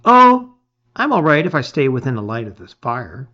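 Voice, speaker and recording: A man's voice: medium pitch, very animated, normal speed, bright, forceful tone, muffled recording. A man voicing an anxious, earnest young lizard boy in a storybook adventure. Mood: uneasy, wistful. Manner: hesitant, exclamatory.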